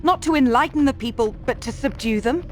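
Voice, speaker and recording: A woman's voice: high-pitched, fairly quick, a little mellow, soft background music, close to an everyday voice. Actress as defiant, principled young-spirited Templar woman. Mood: indignant. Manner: challenging, earnest. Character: principled, defiant female Templar questioning her superior.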